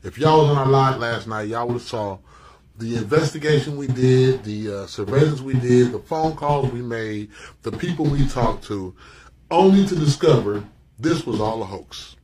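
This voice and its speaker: A man's voice: medium pitch, normal speed, a little mellow, recorded from a distance. Emphatic man in a roomy clip. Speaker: social media commentator. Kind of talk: recorded social video rant.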